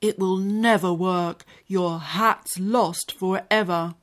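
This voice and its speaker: A woman's voice: fairly low pitch, fairly even intonation, takes it slowly. A woman voicing a cautious, gloomy male pig who doubts and frets. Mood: worried. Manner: doubtful, fretting.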